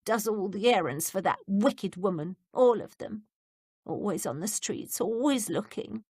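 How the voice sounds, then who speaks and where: A woman voicing a frail, forgetful old woman with a quavery, sing-song voice who rambles slowly. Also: mid-range voice, animated, darkish tone, breathy edge, no room sound, clean studio sound, voice pushed up. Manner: rambling, slow.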